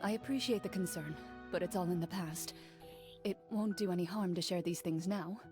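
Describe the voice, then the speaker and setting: A woman voicing a composed young female duelist who speaks in a calm, measured, matter-of-fact way. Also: fairly low pitch, music in the background, lightly acted. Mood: reflective. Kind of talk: game cutscene voice acting.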